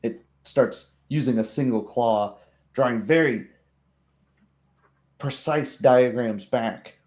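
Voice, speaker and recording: Slow, mellow male game master. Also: medium pitch. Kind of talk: tabletop roleplay narration.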